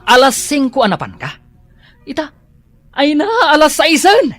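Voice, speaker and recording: A suspicious husband in a radio drama, with a high voice that swings widely in pitch. He questions and scolds jealously and melodramatically. Faint music sits behind him. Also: big pitch swings, normal speed, fairly bright tone, dry recording, heavily acted. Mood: suspicious, brooding. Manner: interrogating, melodramatic.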